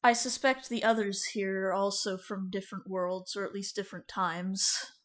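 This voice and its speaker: Lady doing a chill bug creature, formal, slow careful words. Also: medium pitch, steady tone, close to an everyday voice. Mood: measured. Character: calm insectoid male creature with carapace.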